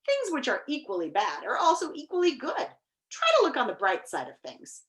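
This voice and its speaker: Woman voicing a high-pitched, pompous humbug bug. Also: wildly swooping pitch, normal speed, a bit bright, exaggerated voice. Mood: smug, weakly. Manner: wordy, repetitive, emphatic, echoing what others say. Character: pompous, flattering, fickle humbug bug, male, blustery.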